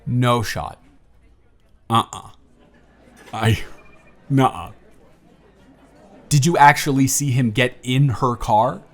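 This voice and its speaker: A man's voice: mid-range voice, slow, pauses often. A man playing a charming, teasing young man on a blind date, chatty and playful in a romantic audio roleplay. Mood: curious, disbelieving.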